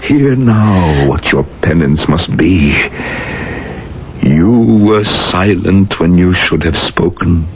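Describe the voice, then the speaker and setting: An actor in a 1970s radio drama playing a stern, solemn older rabbi with a grave, admonishing manner. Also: male voice, deep voice, animated, heavily acted. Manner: grave, admonishing, deliberate oratory. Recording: muffled, hissy old radio recording.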